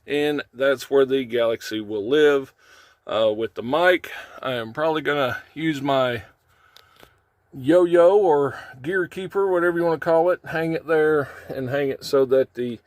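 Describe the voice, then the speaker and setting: A man's voice: a little high, no echo. A man hosting a casual how-to video, talking through a job in folksy, informal phrasing as he works. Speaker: how-to video host, trucker. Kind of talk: casual hands-on tutorial, talking while working. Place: in and around a truck cab.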